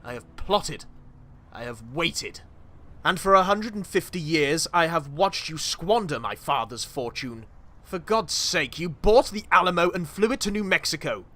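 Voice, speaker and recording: Male actor as scheming elderly villain, scornful, dry, measured, tense bright tone, comic dub. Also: a little high, lively intonation. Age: very old.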